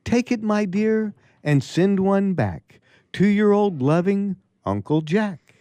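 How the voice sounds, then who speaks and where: A man with a slightly high, very expressive voice takes his time over short verse and banter. He sounds droll and mannered, recorded dry and close on a radio show. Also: slow, dry recording. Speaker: control-room colleague and poem reader on a radio show.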